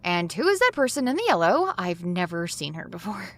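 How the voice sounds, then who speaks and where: A woman's voice: fairly low pitch, big pitch swings, fairly quick. An actress playing a flustered, harried woman in an audio drama, speaking expressively. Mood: upset, disbelieving. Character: flustered, harried talk show woman, often late.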